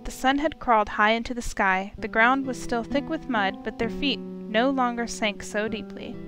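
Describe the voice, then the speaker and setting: A woman with a high-pitched voice reads scripted narration from a visual novel. The delivery is storytelling prose read aloud. Game music and rainfall run clearly behind the voice. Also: normal speed. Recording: loud music and rain behind.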